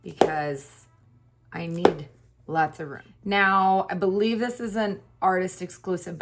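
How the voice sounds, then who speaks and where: A woman with a hoarse, partly lost voice, chatting casually and laughing at herself while doing her makeup on camera. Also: on the low side. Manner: chatty, self-deprecating, laughs often. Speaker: beauty YouTuber. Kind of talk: casual get-ready-with-me chat.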